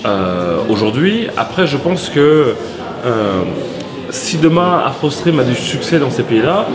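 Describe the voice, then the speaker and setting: A man from France, a company head as interview guest, answering in a halting way with many pauses and restarts. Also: medium pitch, sing-song, crowd noise. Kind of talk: hesitant conversational interview answers.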